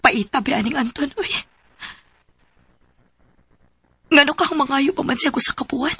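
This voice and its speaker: An actress playing an anxious, distrustful young woman in a Filipino radio drama, with a Cebuano accent and a husky, creaky voice. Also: sits high, fast, bright, forceful tone, no room sound. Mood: worried. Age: young adult. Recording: music bed under the voice.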